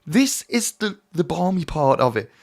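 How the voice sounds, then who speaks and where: Hoarse male spiritual teacher, slightly raised pitch, earnest and emphatic direct address. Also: very animated, moderate pace, a little mellow. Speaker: mediumship teacher. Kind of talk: direct-to-camera teaching talk.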